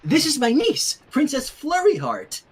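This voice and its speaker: Man voicing a high, frazzled young princess. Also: huge pitch swings, a little slow, heavily acted. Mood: anxious. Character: worried, rambling young female unicorn princess, frazzled aunt.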